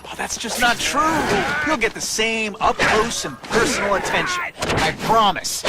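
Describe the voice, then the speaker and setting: An actor playing a cocky, chatty young superhero who delivers sarcastic quips in a video game. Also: male voice, sits high, sing-song, measured pace, very noisy. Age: young adult. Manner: quippy, sarcastic.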